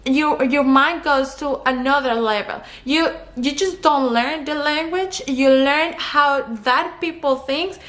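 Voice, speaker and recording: Colombian girl w/ spanish accent, high-ish voice, talking to camera, counting off travel tips. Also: a bit bright. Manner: earnest, advice-giving, counts off points. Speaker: travel vlogger.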